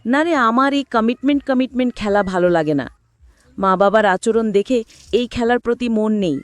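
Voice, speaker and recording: A woman's voice: fairly high pitch, normal speed, dry recording, lightly acted. Woman voicing a reluctant, melancholy older brother. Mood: bemused, dismissive. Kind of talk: audio story dialogue.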